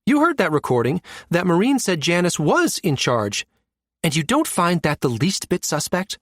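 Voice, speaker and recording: A man's voice: a little high, huge pitch swings, normal speed, a bit bright, no room sound, voice pushed up, heavily acted. A man performs a challenging woman navy officer in an audiobook. The voice is lifted well above a male register and presses with pointed questions. The sound is dry and close. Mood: skeptical, demanding. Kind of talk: audiobook character dialogue.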